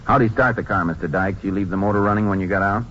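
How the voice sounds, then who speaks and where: An actor playing a calm, businesslike male Texas Ranger, laconic and matter-of-fact, in a western radio drama. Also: on the low side, fast talker, lightly acted. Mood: calm, inquiring. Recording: muffled, hissy 1950s radio recording.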